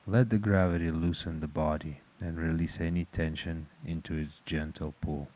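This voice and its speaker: Very deep-voiced man guiding meditation. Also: dull audio. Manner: calm, measured, instructional. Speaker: meditation guide. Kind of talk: guided meditation.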